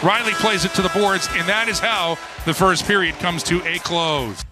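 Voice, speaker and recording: A man calling live hockey play-by-play on the radio with emphatic, excited delivery. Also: high-pitched, moderate pace, loud music behind the voice.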